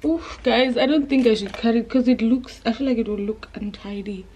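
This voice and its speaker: A woman's voice: fairly high pitch, normal speed, dark tone, muffled recording, slight background noise. A woman, chatting informally to camera and thinking aloud at home. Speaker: vlogger. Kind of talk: casual chat to camera. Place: indoors, bedroom and bathroom at home.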